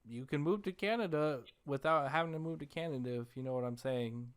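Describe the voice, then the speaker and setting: A man's voice: fairly high pitch, a little fast, clean tone. Man hosting a casual podcast. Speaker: podcast host. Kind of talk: casual chat and ad reads.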